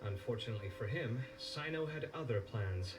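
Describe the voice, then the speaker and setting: A man's voice: mid-range voice, animated, moderate pace, noisy background, natural voice. An actor playing a confident young male intellectual in a video game, wry and conversational. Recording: loud music bed.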